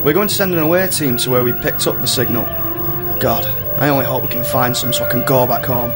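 A man's voice: mid-range voice, fast talker, over music, lightly acted. A man playing a pompous, lecherous starship captain in a comedy radio play, grandiose but chummy. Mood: wistful. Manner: grandiose narrating, slipping into chummy banter.

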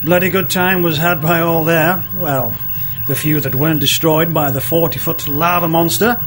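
A man's voice: a little high, steady tone, moderate pace, fairly bright tone, soft background music, close to an everyday voice. A man playing a vain, sarcastic executive officer in a comedy radio play, withering and self-important.

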